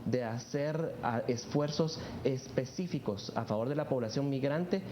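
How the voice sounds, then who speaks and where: A male guest commentator gives an explanatory answer. His voice sits in a roomy space, with clear noise and muffled sound. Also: medium pitch. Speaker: studio guest commentator on migration policy.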